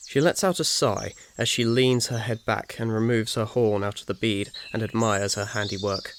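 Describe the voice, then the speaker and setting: Low-voiced man narrating a story. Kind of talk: scripted second-person ASMR story narration. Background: birdsong, crickets and faint noise.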